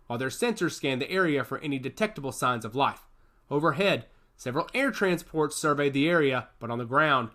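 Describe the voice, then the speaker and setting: A man narrating a story with expressive, scripted delivery. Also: on the high side.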